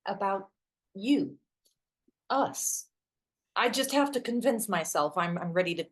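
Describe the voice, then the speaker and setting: An actress playing an awkward, literal-minded young woman in a play reading, a hopeless romantic with a hesitant, wry deadpan manner. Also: medium pitch, unhurried, close to an everyday voice. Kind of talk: two-hander stage play reading.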